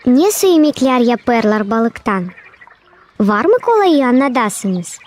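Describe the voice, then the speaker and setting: A woman's voice: a little high, very animated, slow pace. A woman voicing a curious young girl in a cartoon, full of questions.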